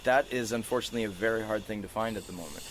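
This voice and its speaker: A man's voice: fairly low pitch, racing, fairly bright tone, a little hiss or hum, low-quality recording. A man in a casual podcast conversation, swearing casually, recorded outdoors on a porch. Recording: background ambience outdoors on a porch.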